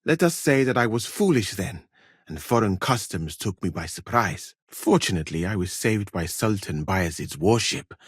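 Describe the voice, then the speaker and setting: Courteous, deep-voiced scholar-physician. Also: male voice, breathy edge, no echo, studio quality, exaggerated voice. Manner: measured, polite, formal, articulate. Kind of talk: video game dialogue acting.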